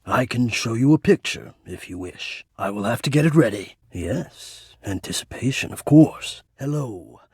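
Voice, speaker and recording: An actor playing a shy, formal and lovestruck male ventilation system in a dating game, speaking earnestly and haltingly in a breathy voice. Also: medium pitch, lively intonation, a little mellow, no room sound, studio quality. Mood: tender, hesitant. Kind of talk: dating game character voice acting.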